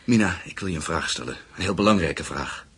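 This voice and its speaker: A man's voice: deep voice, expressive, a little fast, fairly bright tone, slightly rough, heavily acted. An actor in a Dutch radio play voicing an earnest, courtly, ambitious businessman with political aims. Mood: persuasive, solemn.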